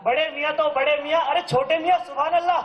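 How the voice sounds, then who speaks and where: A man's voice: extremely high pitch, steady tone, fast, highs cut off. A man acting an indignant, righteous father and anti-corruption official in a stage play, declaiming with sarcasm. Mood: scornful. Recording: echoey live stage recording from hall.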